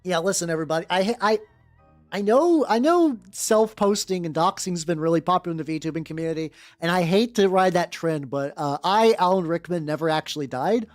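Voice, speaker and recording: A livestreamer with a mid-pitched voice talks in a rambling, sardonic and theatrical manner, drifting between casual chat and comic read-aloud. The microphone is close and very dry, with faint music playing behind. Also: a little fast, no echo. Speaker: livestreamer, VTuber. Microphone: close dry streaming mic. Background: faint background music.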